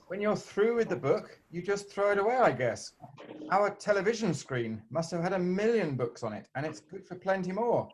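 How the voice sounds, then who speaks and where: English man playing a young schoolboy, eager, a bit high, faint noise behind. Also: big pitch swings, normal speed. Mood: wondering. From England.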